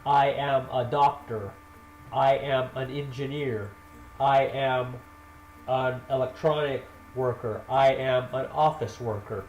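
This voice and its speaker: Man teaching English, very slow and deliberate, repeating drill sentences, echoey room, faint ambience. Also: medium pitch, darkish tone, slight background noise. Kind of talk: slow spoken grammar lesson. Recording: roomy echo with faint ambience.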